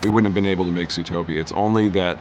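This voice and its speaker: Deep-voiced man explaining his film. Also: fairly even intonation, quick pace, a little mellow, slight background noise. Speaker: film director. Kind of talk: press interview.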